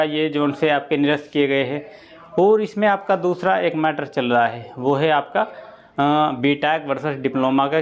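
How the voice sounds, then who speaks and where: A man with a somewhat high-pitched voice talks students through exam information. He is informal and instructive, repeating points and adding short check-in tags. The recording sounds dull and a little lo-fi. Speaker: online exam-prep presenter. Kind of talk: informal explainer addressed to students.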